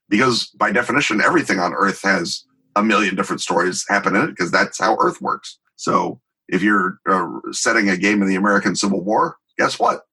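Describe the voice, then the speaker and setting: Husky, bright-voiced man explaining emphatically. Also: lowish voice, rough recording. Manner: emphatic, wry, digressive with vivid examples. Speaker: interview guest, tabletop game designer. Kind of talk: conversational podcast interview, explaining.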